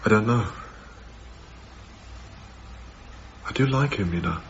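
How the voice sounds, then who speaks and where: An actor playing a gentle, hesitant, earnest young male lodger in a naturalistic radio drama. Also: lowish voice, lively intonation, fast, creaky, highs cut off, a little hiss or hum. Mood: quiet, hesitant. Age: young adult.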